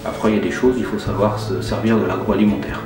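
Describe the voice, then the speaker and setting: A man's voice: fairly low pitch, flat delivery, fast, far from the mic. A man, talking informally and plainly about his job in an interview. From Nantes, western France. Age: 40s. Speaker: care-home head chef being interviewed. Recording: roomy, off-mic voice under clear music. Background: music.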